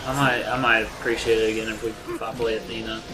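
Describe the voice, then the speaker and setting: A man's voice: fairly low pitch, level tone, fast, over music, low-quality recording. A young man chatting casually while playing a video game, swearing a lot and flaring into frustrated rants. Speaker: let's play commentator. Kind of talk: casual gaming chat.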